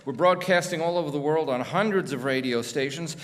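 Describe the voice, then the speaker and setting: A man's voice: a little high, fairly quick. A middle-aged or older American man hosting a live radio show, introducing it to an audience. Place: theater stage. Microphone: stand microphone at lectern. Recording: live in a reverberant theater with audience.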